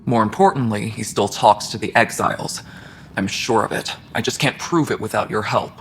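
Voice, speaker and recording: A man's voice: lowish voice, normal speed, a bit bright, slight background noise. An actor playing an earnest, protective male engineering chief on a space station.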